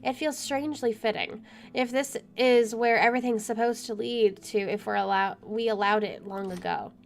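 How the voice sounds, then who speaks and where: A woman's voice: on the high side, fairly even intonation, normal speed, vocal fry. A woman streaming a game, reading visual novel narration aloud and adding casual asides. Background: soft music.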